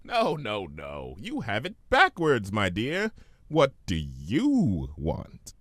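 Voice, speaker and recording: A man acts out a grandiose chimera trickster playing genie in a storybook reading. The pitch swoops dramatically from low to high, wheedling and showy, in a dry, close sound. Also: wildly swooping pitch, takes it slowly, fairly bright tone, dry recording, full character voice. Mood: indignant. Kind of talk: fan fiction audiobook character voice.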